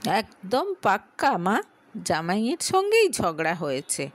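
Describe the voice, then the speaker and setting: A woman's voice: mid-range voice, huge pitch swings, measured pace, dry recording. A woman voicing a scheming young married sister-in-law who sweet-talks with feigned concern in an audio story. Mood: sly, probing.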